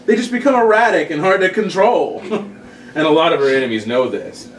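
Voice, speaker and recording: A man playing high-pitched, excitable comic-book geek. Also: a little fast, a little hiss or hum, lightly acted. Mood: defensive. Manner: gushing, nerdy enthusiasm. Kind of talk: comedy script table read. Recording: roomy, distant mic.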